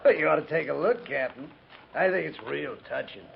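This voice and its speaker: An actor playing a callous, mocking cavalry private in a 1950s radio western, with a gravelly voice and folksy frontier talk. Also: male voice, fairly high pitch, brisk. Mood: sardonic, griping. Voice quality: creaky, gravelly. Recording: muffled, noisy 1950s radio transcription.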